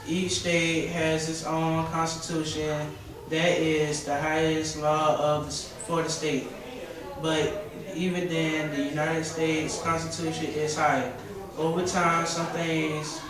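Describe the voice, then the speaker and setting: A boy of about twelve or thirteen reading a school speech aloud haltingly, stumbling over words and correcting himself. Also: on the high side. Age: 12-13 years old, seventh grader. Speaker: student contestant giving a speech. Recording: echoey room from far off, noisy background.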